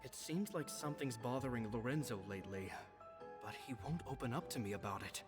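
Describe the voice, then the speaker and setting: An actor playing a kindly, humble male stage magician, reading his diary in an earnest, gentle way in a game voice-over. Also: mid-range voice, natural voice. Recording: game audio with long reverb and music.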